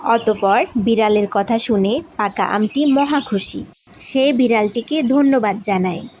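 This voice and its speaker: A woman's voice: fairly high pitch, measured pace, a little mellow, slight background noise. A woman narrating a scripted children's story.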